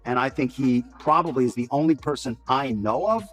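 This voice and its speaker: A man's voice: mid-range voice, brisk, soft background music. A man speaking off the cuff as an interviewee, explaining his view earnestly. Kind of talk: spontaneous spoken commentary.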